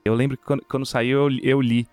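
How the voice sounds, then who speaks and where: A man's voice: medium pitch, level tone, fast, darkish tone, clean tone, no room sound, soft background music. A man with a Brazilian Portuguese accent in casual podcast chat, answering with short reactive interjections.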